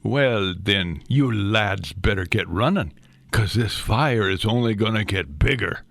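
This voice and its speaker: A man's voice: lowish voice, lively intonation, measured pace, gravelly, fairly bright tone, no room sound, exaggerated voice. A man voicing a bossy boy club leader who plays a solemn drill master, with a Scottish accent and a mock-pompous, formal way of instructing.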